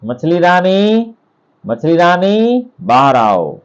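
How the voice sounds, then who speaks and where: A man's voice: high voice, very animated, extremely slow, highs cut off, full character voice. A man voicing a humble, contented, kind-hearted fisherman in a storybook, polite and unassuming. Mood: polite request.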